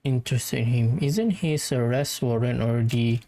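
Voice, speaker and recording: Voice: medium pitch, moderate pace, warm tone. A game streamer giving casual commentary on gameplay in short, musing reactions.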